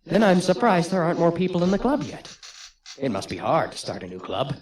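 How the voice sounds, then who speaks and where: A man's voice: fairly high pitch, lively intonation, fairly quick, a little mellow, slight background noise, pitched above the performer's own voice, natural voice. A man voicing an awkward, unsure schoolboy protagonist in a visual novel game, speaking hesitantly. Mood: friendly.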